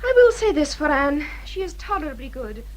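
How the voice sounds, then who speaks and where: High-voiced, lilting English gentry daughter. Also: female voice, sing-song, fairly quick, rough recording. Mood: dismissive. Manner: formal, persuasive, ingratiating. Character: haughty, deferential grown daughter of English gentry. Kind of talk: period radio drama acting.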